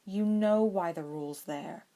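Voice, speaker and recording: A woman's voice: lowish voice, unhurried, mellow. A woman voicing a patient but mildly exasperated schoolboy wizard with a weary manner, in a storybook reading. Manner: patient, sighing.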